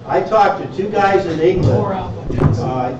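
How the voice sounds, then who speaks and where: A man's voice: high-pitched, lively intonation, a little slow. Man talking casually in a very echoey room. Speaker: club member co-hosting the session. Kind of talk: casual off-the-cuff talk to a group. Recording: very echoey room, distant dull mic, crowd.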